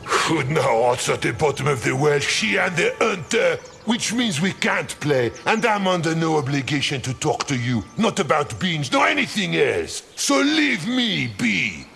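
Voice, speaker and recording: A man voicing a hungover, cranky fairy-tale wolf in a video game, grumbling theatrically in a gravelly voice. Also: fairly high pitch, big pitch swings, measured pace, hardly any pauses, soft background music, heavily acted. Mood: aggrieved, hostile. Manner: grumbling, theatrical, swoops between mutter and shout.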